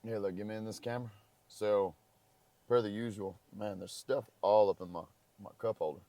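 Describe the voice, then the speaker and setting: Hoarse low-voiced man. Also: steady tone, moderate pace, mellow, dry recording. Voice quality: crackly voice. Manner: rambling, easygoing. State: hoarse, losing his voice. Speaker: travel vlogger. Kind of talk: casual chat. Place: parked car.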